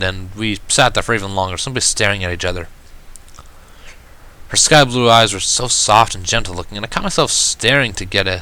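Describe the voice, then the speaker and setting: A man narrating a first-person story in an expressive, reflective and dramatic way. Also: fairly low pitch, poor audio. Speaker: reader of fan fiction. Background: background music.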